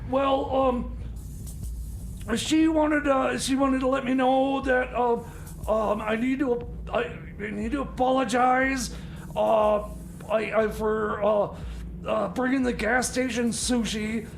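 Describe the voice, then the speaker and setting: Male comedy radio host, very high gravelly voice, flustered stammerer, apologetic, slight background noise. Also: steady tone, slow, poor audio.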